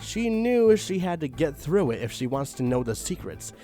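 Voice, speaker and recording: A man's voice: fairly high pitch, very animated, moderate pace, warm tone, soft background music. A man reading a badly written fan story aloud in a mock-dramatic, exasperated way. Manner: exasperated, mock-dramatic, sighing and swinging into outbursts. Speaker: reader of fan fiction.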